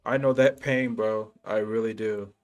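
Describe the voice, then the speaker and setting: A man's voice: lowish voice, fairly even intonation, unhurried. A man livestreaming a video game, chatting casually and talking to viewers in chummy slang. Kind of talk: casual gaming stream chat.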